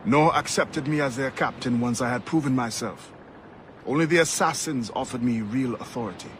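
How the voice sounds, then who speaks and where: An actor playing a composed former slave turned pirate captain, speaking formally in a game cutscene. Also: male voice, mid-range voice, slight background noise, lightly acted. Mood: earnest, reserved. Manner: measured, formal, courteous. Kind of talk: video game cutscene acting.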